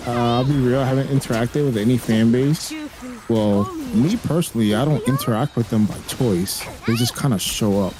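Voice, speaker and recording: A man's voice: medium pitch, normal speed. A young man, a native Spanish speaker, chatting casually as a gaming streamer in a blunt, slangy way and swearing freely. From raised by a Spanish-speaking family, living in the US.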